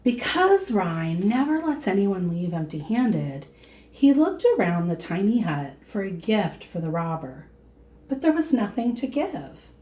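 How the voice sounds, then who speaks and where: A woman teacher reading a storybook aloud to children in an expressive manner. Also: mid-range voice. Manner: slow, expressive, addressing young listeners.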